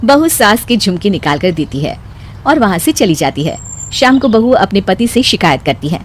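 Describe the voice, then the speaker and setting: A woman's voice: medium pitch, big pitch swings, moderate pace, slight background noise. A woman narrator reading a scripted domestic comic story.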